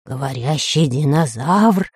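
A woman playing a boastful, blustering male pirate who bellows in drawn-out words in a children's storybook. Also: sits low, lively intonation, darkish tone, husky, no echo, studio quality, voice pushed down, full character voice. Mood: startled.

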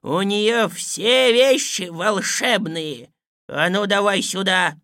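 A woman's voice: medium pitch, slow, fairly bright tone, dry recording, clean studio sound. A woman voicing a cowardly, insolent male scribe with a whiny, wheedling manner in a storybook reading.

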